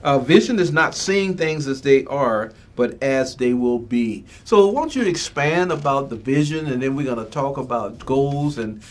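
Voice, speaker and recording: A man's voice: mid-range voice, lively intonation, normal speed, few pauses. Male motivational talk show host from the Bahamas. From Bimini, Bahamas.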